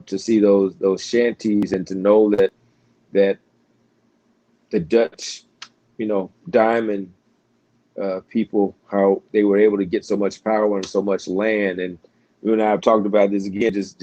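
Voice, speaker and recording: An American man, a pastor, talking in a relaxed interview with frequent fillers and restarts. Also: on the low side, low-quality recording. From United States. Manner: halting, many restarts and fillers. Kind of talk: casual interview conversation.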